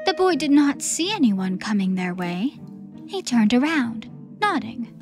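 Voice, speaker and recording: High-voiced woman narrating a story. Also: big pitch swings, unhurried, soft background music. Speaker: audiobook narrator.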